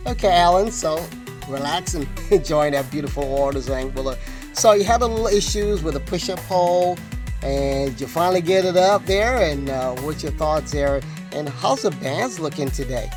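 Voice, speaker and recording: A man's voice: on the high side, normal speed. Chummy male host with music behind him. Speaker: channel host interviewing a friend. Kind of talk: friendly interview questions.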